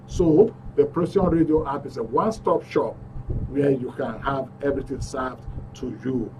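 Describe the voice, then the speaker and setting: A man's voice: medium pitch, normal speed, distant mic, noisy background. Nigerian man hosting live call-in radio. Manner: upbeat announcer, reads out messages and phone numbers. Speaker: radio talk show host. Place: radio studio.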